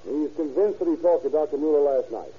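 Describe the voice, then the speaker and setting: An actor playing an eager young deputy who talks in a keen, earnest way, in 1940s radio drama style. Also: male voice, a little high, expressive, fairly quick, slightly rough, phone-quality sound. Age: young adult.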